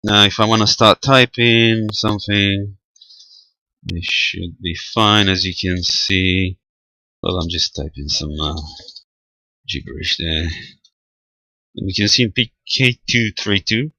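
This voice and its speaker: Man, very low voice with a sharp bright edge, halting matter-of-fact delivery, radio hobby demonstrator. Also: fairly even intonation, no room sound. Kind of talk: unscripted hands-on technical tutorial.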